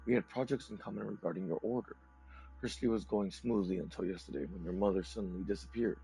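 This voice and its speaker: Raspy gamer guy, gravelly low voice, casual let's-play chatter over faint music. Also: dark tone, low-quality recording. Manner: casual, reads letters aloud haltingly. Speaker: gameplay streamer.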